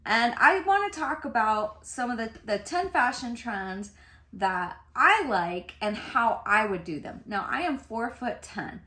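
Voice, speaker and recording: A woman's voice: fairly high pitch, very animated, unhurried, sharp tone. A woman in her fifties chatting casually to camera about fashion, often laughing as she talks. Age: 50s. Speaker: fashion vlogger. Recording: echoey room.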